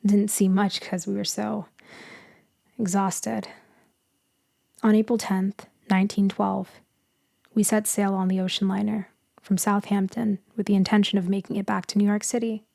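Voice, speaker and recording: A woman playing a gentle, melancholy immortal female vampire in a sleep ASMR roleplay, speaking softly and intimately, often slipping into a whisper. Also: medium pitch, little pitch movement, dry recording, clean studio sound. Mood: nostalgic. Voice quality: soft, breathy, often whispering. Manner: slow, hushed, wistful, intimate.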